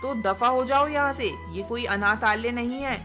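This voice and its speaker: A woman's voice: on the high side, normal speed, natural voice. A woman voicing a haughty, greedy bird wife in a cartoon, curt and scolding. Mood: harsh, dismissive. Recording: music behind the voice.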